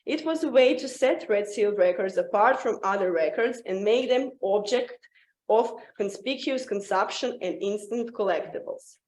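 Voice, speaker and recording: A woman presenting a scripted academic paper at a symposium, reading aloud haltingly and often stumbling and restarting words. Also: medium pitch, darkish tone, roomy sound, rough recording.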